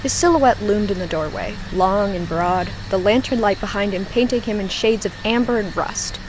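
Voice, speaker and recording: A woman with a medium-pitched voice reads a horror audio drama as its narrator. Her delivery is scripted, suspenseful and measured, pausing for drama. A clear bed of storm ambience sits behind her. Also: background noise.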